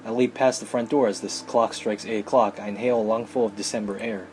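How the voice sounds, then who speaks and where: A man's voice: lowish voice, steady tone, quick pace, darkish tone, slight background noise. A man hosting a let's play, reading a visual novel aloud with sardonic, casual asides. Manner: sardonic asides, breaking off to joke.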